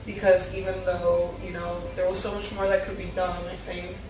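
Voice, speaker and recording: A woman's voice: fairly low pitch, flat delivery, normal speed, noisy background. A woman speaking as a fan, reading script lines aloud or chatting casually. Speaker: fan table reader and commentator. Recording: very echoey, far from mic, music behind.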